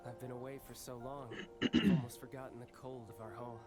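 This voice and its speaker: A man's voice: mid-range voice, fairly even intonation, fairly quick, close to an everyday voice. A man voicing a cocky, restless young fighter in a video game, with a smug, theatrical delivery. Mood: wistful, restless. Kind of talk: English game voice acting. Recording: loud game music behind.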